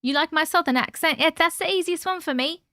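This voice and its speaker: Woman livestream host, high bright voice, dry close sound, gushing and chatty with her chat. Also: a little fast, dry recording. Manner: chatty, effusive, talks to chat viewers. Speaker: livestream host reading viewer stories. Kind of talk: casual live chat and reading aloud. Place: at home with her cat.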